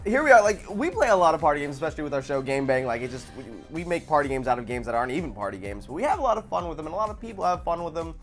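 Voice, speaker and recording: A man's voice: a little high, sing-song, rapid-fire, few pauses, over music. A young man hosting a casual gaming panel chat, jokey and rambling. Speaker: show host.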